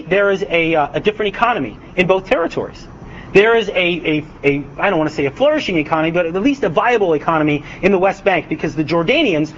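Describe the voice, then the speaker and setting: A young adult man giving a lecture as an author, speaking with frequent hesitations and restarts. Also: high-pitched. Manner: hesitant, many uhs and restarts. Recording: dull lo-fi recording, faint noise.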